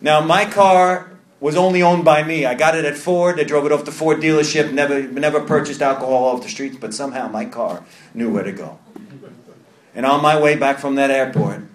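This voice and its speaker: A man's voice: on the high side, normal speed. Earnest New York man in an echoey room. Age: 30s. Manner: earnest, confessional, repeats and restarts phrases. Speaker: recovery meeting speaker. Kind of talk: personal testimony to a group. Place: meeting room.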